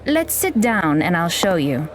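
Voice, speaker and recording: An actress playing a blunt, aloof young magical mentor who talks in a dry, deadpan way in an audio drama. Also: female voice, on the low side, a little slow, background noise. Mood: instructive. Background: background music.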